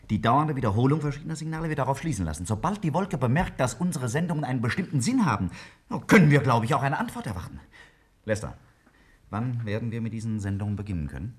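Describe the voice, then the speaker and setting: A man's voice: mid-range voice, expressive, fast, husky. A man playing a brilliant, self-assured scientist in a 1950s German radio drama, lecturing emphatically and brusquely. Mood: assured, explaining. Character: brilliant, self-assured male scientist explaining a daring theory. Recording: vintage 1950s radio play recording.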